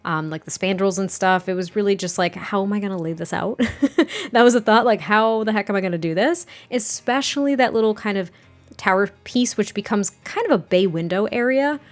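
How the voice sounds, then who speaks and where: A woman with a slightly raspy, mid-pitched voice talks casually and rambles a little, full of fillers. Soft music plays faintly underneath her voice-over. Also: brisk. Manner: chatty, rambling, frequent fillers and laughs. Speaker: game builder narrating a speed build. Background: soft background music.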